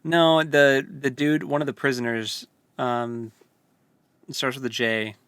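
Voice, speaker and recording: A man's voice: medium pitch, level tone, measured pace, a bit bright, clear, resonant tone, no room sound. A man co-hosting a book podcast, chatting casually and retelling scenes in an informal, jokey way with acted-out dialogue. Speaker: co-host of a book read-along podcast. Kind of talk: casual book discussion chat.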